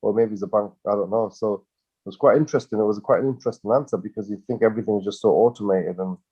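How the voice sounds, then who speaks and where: A man's voice: lowish voice, quick pace, husky, lo-fi sound. A man co-hosting a casual business chat, rambling through his points with many fillers and restarts. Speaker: co-host.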